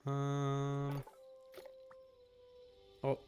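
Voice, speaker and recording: A young adult man chatting casually as he streams a game, rambling and halting with repeated words. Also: medium pitch, monotone, a little mellow, smooth, faint music behind. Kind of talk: casual livestream chat while gaming.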